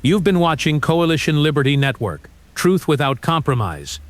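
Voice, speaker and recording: A man acting as a rebel network's station announcer, slightly high in pitch. He reads formally, as an official broadcast notice in an audio drama. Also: big pitch swings, normal speed, no room sound.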